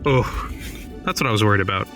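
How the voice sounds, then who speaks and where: A man's voice: fairly low pitch, fast, music in the background. A man playing a tabletop game, chatting casually and thinking aloud. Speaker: player in a tabletop role-playing podcast.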